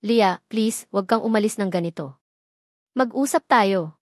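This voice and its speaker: A woman's voice: medium pitch, measured pace, darkish tone, no echo, close to an everyday voice. Woman playing a wealthy man, guilty, lovestruck, pleading, desperate, audio story drama, dry recording.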